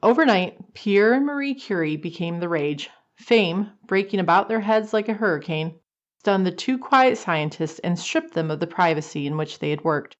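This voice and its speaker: A woman's voice: fairly low pitch, expressive, normal speed, close to an everyday voice. A woman reading scripted dramatic narration as the narrator of an old time radio show. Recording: dull lo-fi sound.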